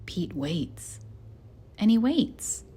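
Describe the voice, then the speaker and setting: A woman narrating a picture book for young children in simple, deliberate phrasing. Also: medium pitch, big pitch swings, warm tone, husky. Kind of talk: scripted read-aloud narration for young children.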